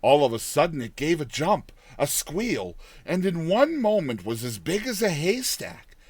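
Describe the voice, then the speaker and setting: A man narrating a dramatized audiobook tale in an expressive, theatrical storytelling manner. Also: on the high side, unhurried, husky, dry recording.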